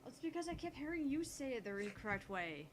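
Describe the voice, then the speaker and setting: A woman's voice: sits high, sing-song, a little fast, a little hiss or hum. A woman co-hosting a gaming video, chatting casually in playthrough banter.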